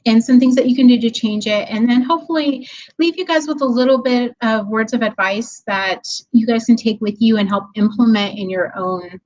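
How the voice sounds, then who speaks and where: Female guest presenter, slightly high voice, friendly and chatty with fillers, remote call from a hallway. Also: rough recording. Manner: friendly, laughs easily, many ums. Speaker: guest speaker. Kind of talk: webinar presentation. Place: office hallway.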